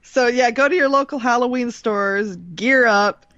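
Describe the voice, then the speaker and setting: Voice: mid-range voice, moderate pace, bright, forceful tone, no echo. A laughing podcast co-host with a mid-range voice, agreeable and easygoing in casual chat. The recording is dry and close, with a dull, slightly muffled top end.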